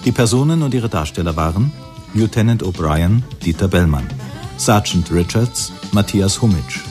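A man's voice: fairly low pitch, expressive, moderate pace, darkish tone. A man reading radio drama closing credits as a formal, neutral announcer. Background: guitar music.

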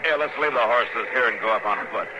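Hissy old radio drama, actor as American male lawman, stern, terse, medium pitch, western. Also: fairly quick, close to an everyday voice. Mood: steady. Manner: terse, firm, matter-of-fact. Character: stubborn, stern male U.S. frontier marshal. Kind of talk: 1950s western radio acting. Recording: muffled, hissy 1950s radio recording.

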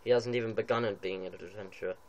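A man's voice: lowish voice, fairly even intonation, fast, darkish tone, dull audio. Joking young man at the TV. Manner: joking, playful, teasing. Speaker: gamer playing with a friend. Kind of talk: casual banter over gameplay. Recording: home video with faint background noise.

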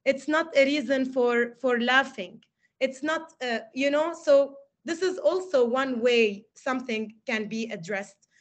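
A woman's voice: sits high, unhurried. A woman from Lebanon teaching English at university, giving a reflective webinar lecture full of rhetorical questions over a video call.

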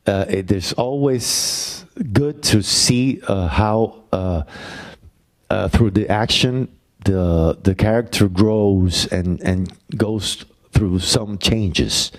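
A middle-aged man with a Puerto Rican accent, a playwright answering audience questions on a university stage into a handheld mic, halting, with many fillers. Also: low voice. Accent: Puerto Rican Spanish. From Puerto Rico. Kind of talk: Q&A talk after a play.

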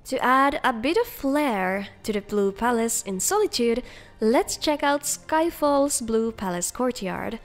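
High-voiced woman narrating a game showcase. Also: expressive, measured pace, faint music behind. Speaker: video host, game mod reviewer. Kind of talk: upbeat scripted narration.

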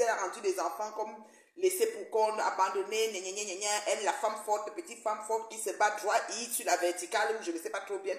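Woman, 40s, middle-pitched voice, animated and indignant, phone mic, living room, roomy echo. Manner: animated, gesturing, indignant recounting. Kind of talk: video call discussion. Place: living room, seated in armchair.

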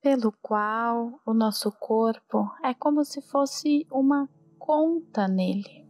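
A woman with a Brazilian accent, calmly interpreting a guided meditation in measured phrases. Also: on the high side, no echo. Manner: calm, measured. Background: meditation music.

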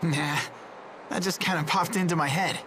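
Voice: medium pitch, fairly even intonation, moderate pace, slight background noise, natural voice. Earnest teenage boy hero voiced by an actor. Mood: dry, casual. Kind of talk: game voice acting. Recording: loud music over dialogue.

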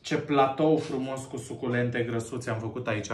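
A man's voice: mid-range voice, steady tone. A man in his thirties from Romania hosts a playful mock cooking show about plants, laughing often and drawing out gushing words while working with his hands. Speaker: host of a plant arranging show. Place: home room with plant wallpaper. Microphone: camera at a few feet. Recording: echoey room.

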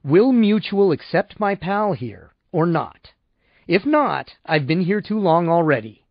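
A stubborn, sardonic man from a novel, played by a male narrator. He talks curtly and impatiently in a lively, fairly high voice. The recording is dry and slightly dull. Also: a little slow, no room sound, heavily acted. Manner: curt, sardonic. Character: blunt, stubborn male customer, wry and impatient.

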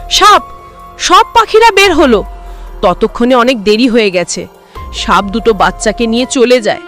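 Woman as a high-pitched, dramatic cartoon bird. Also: fairly bright tone. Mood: alarmed. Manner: dramatic, emphatic storytelling. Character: grave, dramatic bird friend telling a cautionary tale. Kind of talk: children's cartoon story acting.

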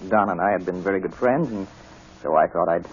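An actor playing a smooth, falsely polite, menacing major in a vintage radio drama. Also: male voice, sits low, fairly quick, a little hiss or hum, natural voice. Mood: falsely genial. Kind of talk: 1940s radio acting. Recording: dull old radio broadcast.

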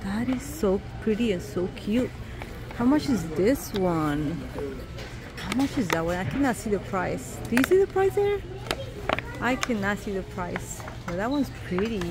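A young woman chatting casually and excitedly to her phone camera while shopping and then eating out. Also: medium pitch, unhurried, loud music behind the voice. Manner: chatty, excited, exclaims over cute things. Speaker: vlogger. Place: busy store and fast-food restaurant. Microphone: handheld phone camera. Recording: noisy public place ambience.

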